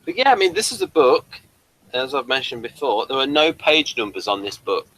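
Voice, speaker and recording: A man's voice: medium pitch, very animated, a little fast, a bit bright, no echo, low-quality recording. A man hosting a casual review chat, rambling and thinking aloud as he goes. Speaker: podcast review host.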